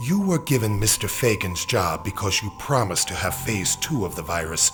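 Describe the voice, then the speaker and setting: A man playing a calm, menacing shadowy agency chief in a video game cutscene, measured and condescending. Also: deep voice, music in the background. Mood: cold menace.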